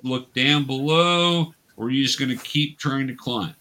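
A man's voice: mid-range voice, normal speed, sharp tone. Male game master, improvised narration, patient explainer who encourages the players.

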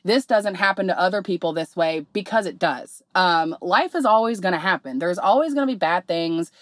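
A young woman, slightly hoarse, hosting her podcast in candid, rambling casual talk full of fillers. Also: on the low side, normal speed, dry recording. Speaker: podcast host.

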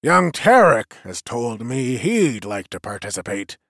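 A man's voice: mid-range voice, big pitch swings, unhurried, a bit bright, no room sound, clean studio sound, exaggerated voice. A man voicing a one-eyed male veteran who speaks in a blunt, stubborn, emphatic way.

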